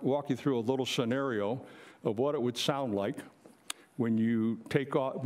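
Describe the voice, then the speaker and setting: A man's voice: mid-range voice, a little mellow. Older man giving an informal explanatory talk. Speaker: presenter, retired air traffic controller. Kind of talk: informal explanatory presentation to a live audience.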